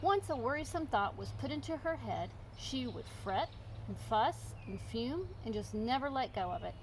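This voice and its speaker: A woman's voice: on the high side, very animated, moderate pace, slight background noise, rough recording. A woman reading a storybook aloud as narrator. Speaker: storybook reader.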